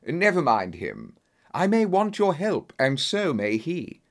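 A man voicing a confident, observant gentleman detective in a storybook, with an assured, brisk and dry manner. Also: a little high, huge pitch swings, exaggerated voice. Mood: insistent, eager.

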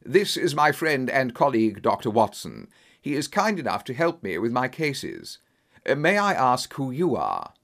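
A man voicing a confident, observant gentleman detective in a storybook, with an assured, brisk and dry manner. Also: mid-range voice, wildly swooping pitch. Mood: courteous.

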